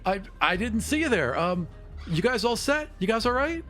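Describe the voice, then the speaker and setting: Man putting on a chirpy waiter, high voice, apologetic, hesitant, eager to please, music behind, tabletop game. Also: very animated, moderate pace, a bit bright. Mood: flustered, eager. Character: flustered, eager-to-please young waiter in a busy bar.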